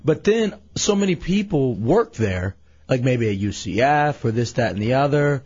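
A man's voice: medium pitch, sing-song, moderate pace, a little mellow, breathy edge, highs cut off. A man co-hosting a radio show with a sick, worn voice, joking and rambling in casual banter. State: sick, losing his voice.

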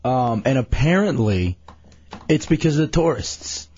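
A man's voice: mid-range voice, lively intonation, a little slow, darkish tone, husky, muffled recording. A man co-hosting a radio show with a sick, worn voice, joking and rambling in casual banter. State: sick, losing his voice.

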